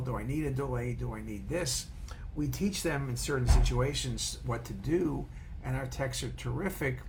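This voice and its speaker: A man's voice: medium pitch, creaky, a little hiss or hum. A man speaking off the cuff as a radiologist and educator, in a rambling informal lecture style that repeats phrases for emphasis.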